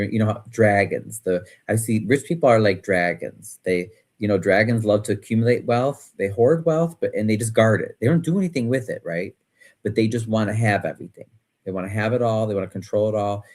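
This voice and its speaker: Rambling low-voiced male host. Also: a little mellow. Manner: rambling, reactive, many fillers. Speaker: podcast host. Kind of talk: casual chat.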